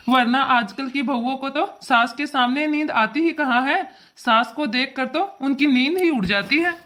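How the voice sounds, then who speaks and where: A woman voicing an effusive, slyly flattering parent of a bride in an exaggerated family comedy cartoon. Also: sits high. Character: gushing parent of the bride, slyly over-praising the in-law.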